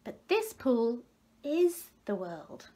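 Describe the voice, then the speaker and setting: A woman's voice: fairly high pitch, big pitch swings, extremely slow, mellow, pitched above the performer's own voice, full character voice. A woman voicing vain, childish, stubborn little tadpoles in a storybook, boastful and scornful.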